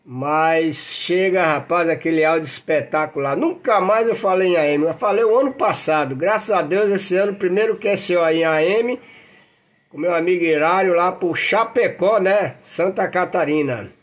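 High-pitched Brazilian man chatting on radio. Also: moderate pace. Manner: folksy, enthusiastic, peppered with slang and radio jargon. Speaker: amateur radio operator and channel host. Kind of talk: casual radio contact chat with running commentary.

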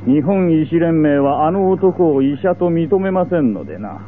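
A stern, pompous male medical chairman acted by a man in a 1970s radio drama, formal and unyielding, pitched a little high. The recording is thin and phone-like. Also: lively intonation, normal speed, lightly acted. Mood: stern refusal. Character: stern, authoritarian male head of medical association. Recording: tinny old radio recording with hiss.

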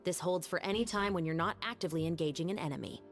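A woman's voice: on the low side, fast, faint music behind, close to an everyday voice. A woman playing a cool, stern musketeer. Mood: firm. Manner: calm, firm instructing. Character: cold, dignified female musketeer officer. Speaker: weapons instructor in a game cutscene.

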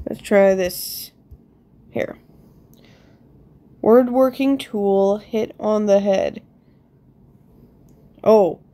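A woman with a soft-edged, mellow voice and a blocked-nose sound. She speaks slowly at a middling pitch, thinking aloud in casual game commentary and gently putting herself down. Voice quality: congested, stuffed-up nose. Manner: thinking aloud, self-deprecating. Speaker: player commenting on own gameplay. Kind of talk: casual voice-over on a word puzzle game.

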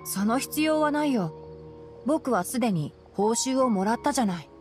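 A woman playing a young male forest ranger in a Japanese anime game, calm and studious, explaining things in a measured way. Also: fairly high pitch, soft background music, lightly acted. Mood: gently firm. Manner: measured, matter-of-fact explaining.

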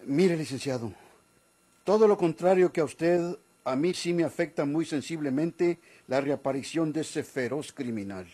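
A man's voice: a little high, normal speed, dark tone, lightly acted. An older Mexican man acting in a golden-age radio drama as a proud retired policeman, formal and deferential yet stubbornly insistent. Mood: wounded pride. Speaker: actor.

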